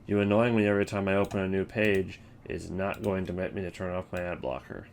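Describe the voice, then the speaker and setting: A man's voice: deep voice, steady tone, brisk, slight background noise. A man giving casual, unscripted video commentary, rambling and thinking aloud in a wry way. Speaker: video host ranking game voice lines.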